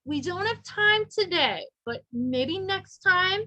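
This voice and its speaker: A woman teaching an adult English class in a high, bright voice. She speaks slowly and patiently and praises her learners often. She spells out each idea so they can follow. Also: lively intonation. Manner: patient, encouraging, models words slowly.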